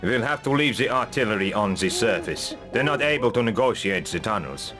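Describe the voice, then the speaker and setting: An actor playing a cocky second-in-command in a fantasy game, reporting in a brisk, wry military manner. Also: male voice, on the low side, expressive, music in the background, natural voice. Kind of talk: fantasy video game cutscene acting.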